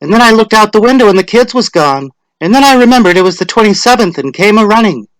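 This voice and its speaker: A man's voice: high voice, lively intonation, quick pace, a bit bright, no echo, voice pushed up, poor audio. A man voicing a chatty, cheerful middle-aged village housewife with folksy rural grammar in a dramatic story reading. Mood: breezy, cheerful.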